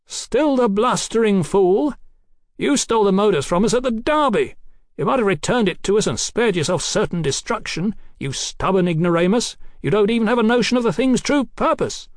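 A man's voice: high-pitched, animated, moderate pace, dry recording, studio quality, pitched above the performer's own voice. A man voicing a taunting, fanatical revolutionary villain in an audiobook, theatrical and declamatory. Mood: scornful, fierce.

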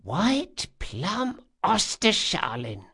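A man voicing a brusque, sharp-tongued old woman, a master artificer in a fantasy audiobook. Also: high-pitched, wildly swooping pitch, measured pace, pitched above the performer's own voice, full character voice. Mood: awed, excited. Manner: brusque, exclamatory, scolding.

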